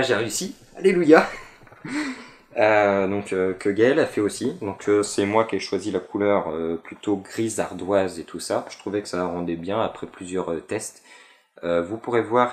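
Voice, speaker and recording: A man's voice: fairly low pitch, normal speed, echoey room. A young man vlogging casually, talking in a hesitant way with lots of fillers and restarts. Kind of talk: casual chat about a fan convention.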